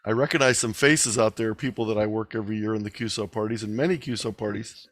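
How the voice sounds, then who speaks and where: A man's voice: on the low side, fairly even intonation, fast talker, a bit bright, no room sound. A man speaking informally as the presenter and moderator of an online meeting.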